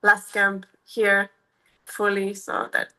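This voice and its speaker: A woman's voice: medium pitch, completely flat delivery, slow pace, pushed and bright. A woman in her thirties from Romania with a Romanian accent, answering interview questions in a casual, hesitant way with many fillers and restarts. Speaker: MMA fighter, guest.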